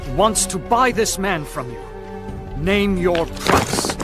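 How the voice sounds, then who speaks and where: A man playing a bold, resolute Arabian envoy in an animated film. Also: high voice, takes it slowly, over loud music. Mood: firm.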